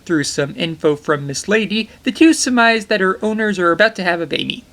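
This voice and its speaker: A man's voice: sits high, lively intonation, a little fast, fairly bright tone. A young man narrating a comedic film review from a small bedroom, with jokey, enthusiastic asides.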